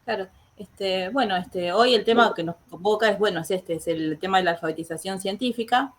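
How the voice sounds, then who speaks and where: An Argentine woman hosting an online educational talk, pausing often on filler words as she introduces guests and asks questions. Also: medium pitch. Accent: Argentine Spanish. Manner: hesitant, many filler words. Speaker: host. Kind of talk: casual online interview.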